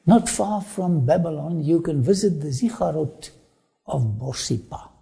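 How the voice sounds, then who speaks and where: A man with an Afrikaans accent, whose first language is Afrikaans, presenting a travel documentary with sermon-like asides, in slow, emphatic, drawn-out phrasing. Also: fairly high pitch, animated, vocal fry, warm tone, breathy edge.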